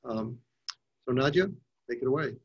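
A man's voice: medium pitch, breathy edge. Older man moderating over a dull call. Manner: measured, with frequent "uh" hesitations. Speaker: panel moderator. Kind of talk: video call panel moderation. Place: home room. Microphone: video call. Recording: dull lo-fi video call audio.

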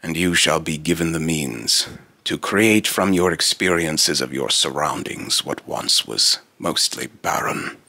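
A man voicing a solemn robotic entity, speaking in a portentous, deliberate way with a gravelly, raspy voice. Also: rumbling low, animated, pushed and bright, no echo, full character voice. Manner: portentous, deliberate, repeats lines to retry them. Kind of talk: voice line recording.

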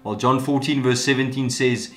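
Pastor speaking to camera in an echoey room. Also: male voice, mid-range voice, steady tone, moderate pace. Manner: warm and inviting, speaking straight to camera. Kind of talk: church announcement video. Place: small indoor room with plants and an orange chair. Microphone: camera framed from the chest up. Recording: echoey room with soft guitar music.

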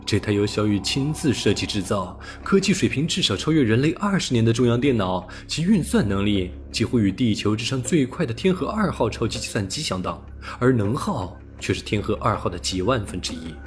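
A man's voice: lowish voice, big pitch swings, brisk, hardly any pauses. A man reading a science fiction audiobook as narrator, in a scripted, dramatic storytelling style. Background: music.

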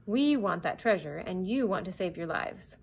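A woman's voice: lowish voice, lively intonation, normal speed, gravelly, slightly rough, exaggerated voice. A woman voicing a cheery, wily pirate captain in a storybook, hearty and folksy as he wheedles for a bargain. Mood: sly, insistent.

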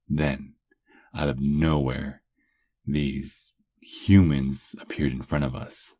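An extremely deep-voiced vampire lover acted by a man, doting and playfully possessive. He murmurs very slowly and intimately in an ASMR roleplay. The sound is close and dry. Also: no room sound, exaggerated voice. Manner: slow, intimate murmuring with soft chuckles. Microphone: close microphone, dry.

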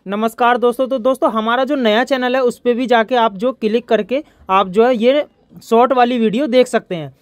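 A man's voice: very high-pitched, fairly even intonation, normal speed, no room sound. A man from India, presenting an informal hands-on electronics tutorial as its host.

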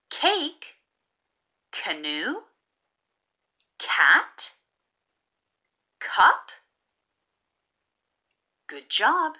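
A woman reads a storybook aloud for little children with hugely varied, swooping intonation. Her voice is bright and a bit high, and she enunciates each phrase deliberately. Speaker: children's read-aloud host. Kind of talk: picture-book read-aloud for young children.

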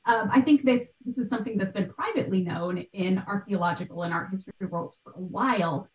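American lady chatting and explaining on a video call, mid pitch, echoey room. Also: a little mellow. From United States. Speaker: podcast guest, art history expert. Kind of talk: conversational explaining in an interview. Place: small bright room at home. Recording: roomy video-call sound.

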